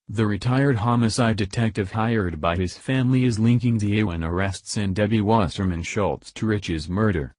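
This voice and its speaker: A man's voice: on the low side, darkish tone, no echo. A man reading scripted political news as a voice-over narrator.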